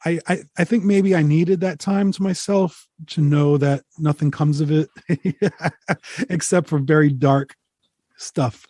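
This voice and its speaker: A man's voice: fairly high pitch, steady tone, takes it slowly, darkish tone, dry recording, clean studio sound. A man in his forties, a musician talking candidly and reflectively as an interview guest, swearing casually.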